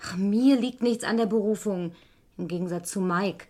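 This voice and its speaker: A woman's voice: mid-range voice, moderate pace, mellow, lightly acted. An actress playing a cool, disdainful woman of a reputable family in a vintage radio crime play. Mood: cool, dismissive.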